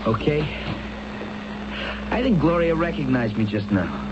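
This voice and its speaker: An actor playing a young hip musician and husband in a radio drama, earnest and defensive, with halting slangy talk. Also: male voice, fairly high pitch, sing-song. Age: young adult. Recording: dull, noisy old radio broadcast.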